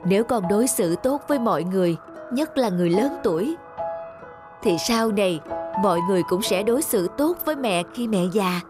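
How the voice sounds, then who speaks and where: A woman voicing a kind, patient mother in a moral-tale cartoon, speaking in a gentle, polite, instructive manner. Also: medium pitch, expressive, fairly quick, music in the background, lightly acted. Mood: gently instructive. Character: kind, patient young mother teaching her daughter compassion.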